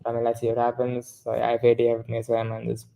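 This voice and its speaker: A man's voice: mid-range voice, completely flat delivery, quick pace, gravelly, warm tone, poor audio. A man co-hosting a casual football discussion, rambling and often correcting himself mid-sentence. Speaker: football podcast co-host.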